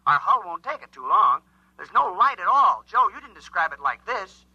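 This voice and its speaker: A man's voice: high voice, sing-song, normal speed, slightly rough, no echo, muffled recording, exaggerated voice. A man acting an alarmed alien spaceship pilot in a 1950s science fiction radio drama, speaking urgently through a radio transmission. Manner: urgent, insistent, short clipped reports. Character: alarmed alien spaceship pilot, joking turning desperate, pleading.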